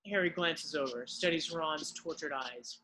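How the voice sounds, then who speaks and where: A man's voice: on the high side, a little slow, bright, forceful tone, low-quality recording. A man narrating, reading the stage directions of a screenplay in an amateur read-through.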